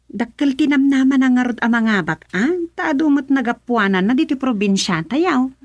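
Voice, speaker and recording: A woman's voice: high voice, lively intonation, moderate pace, no echo, lo-fi sound. A woman with an Ilocano accent playing an outspoken grown daughter in a radio comedy-drama, emphatic and colloquial. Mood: knowing. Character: outspoken grown daughter talking with her mother.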